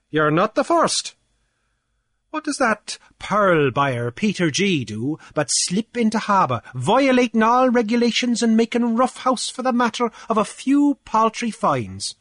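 A man's voice: high-pitched, big pitch swings, a little slow, fairly bright tone, dry recording, studio quality, pitched above the performer's own voice, exaggerated voice. A man voicing a pompous, drunken male beachcomber official who blusters in a lilt. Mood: blustering. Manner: bombastic, wordy, theatrical.

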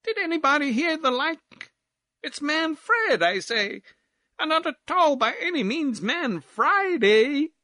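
Man putting on a shrill, meddling young miss. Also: a little slow, no room sound, studio quality, heavily acted. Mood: indignant. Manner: bawling, insistent, excited. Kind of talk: theatrical storybook character voice.

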